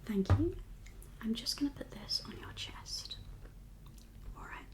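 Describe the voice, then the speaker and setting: A woman whispering as a doctor in an ASMR exam roleplay, giving gentle, reassuring instructions in a breathy voice. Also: fairly high pitch, expressive, unhurried. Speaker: ASMR performer playing a doctor.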